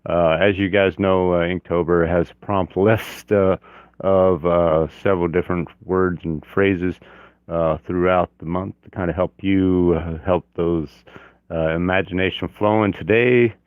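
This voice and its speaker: A man hosting his art channel in a relaxed livestream chat from his home office, hoarse from a cold and talking haltingly with many fillers. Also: low voice, no echo, phone-quality sound. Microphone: external mic on camera.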